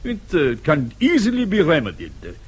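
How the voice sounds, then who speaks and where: A gently sardonic police colonel in a radio drama. His husky, fairly high voice moves freely up and down as he sets out his case with measured, hesitant courtesy. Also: wildly swooping pitch, heavily acted. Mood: wry, conceding.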